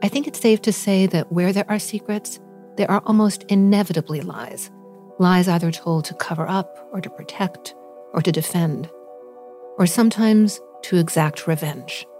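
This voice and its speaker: A woman hosting an interview podcast, speaking in a measured, reflective way. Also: fairly low pitch, warm tone, soft background music. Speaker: podcast host. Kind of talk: scripted intro then interview questions.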